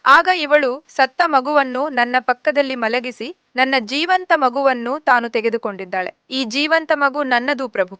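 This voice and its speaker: A woman's voice: sits high, measured pace, sharp tone, smooth, no echo. A woman voicing a scheming young woman who insists, accusingly, that a baby is hers.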